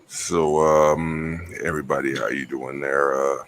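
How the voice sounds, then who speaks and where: A man putting on a deep, slow, smooth late-night radio voice in a casual group chat. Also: fairly even intonation, fairly bright tone, heavily acted. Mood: crooning. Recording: noisy background hiss.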